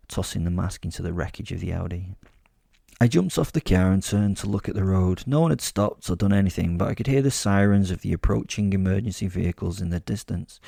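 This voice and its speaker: A man with a low, mellow voice and a gravelly edge tells a darkly comic horror story as a sardonic werewolf. He talks straight to the listener, wry and sweary, in a close, dry recording. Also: no echo. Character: sardonic, sadistic male werewolf telling his story. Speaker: podcast host and storyteller. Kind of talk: comic first-person horror storytelling, in character.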